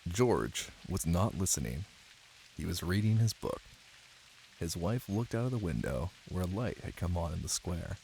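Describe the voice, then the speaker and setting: A man doing a cozy boyfriend ASMR bedtime reading, soft, intimate and playful. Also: deep voice, expressive, normal speed, vocal fry, poor audio. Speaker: ASMR voice actor playing a caring boyfriend. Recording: light hiss under rain ambience. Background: rain.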